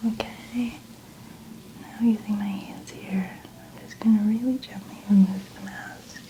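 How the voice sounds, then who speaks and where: A woman in a soft-spoken ASMR skincare roleplay, gently narrating each step in a breathy, often whispered voice. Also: medium pitch, fairly even intonation, a little slow, low-quality recording. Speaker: ASMR host playing a skincare practitioner. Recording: noticeable ambient background noise.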